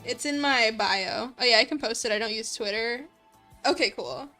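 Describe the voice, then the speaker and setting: High, bright-voiced giggly woman livestreamer. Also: normal speed. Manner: giggly, gushing, slangy. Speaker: livestreamer, host. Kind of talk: casual livestream chat with viewers. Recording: background music behind voice. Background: light background music.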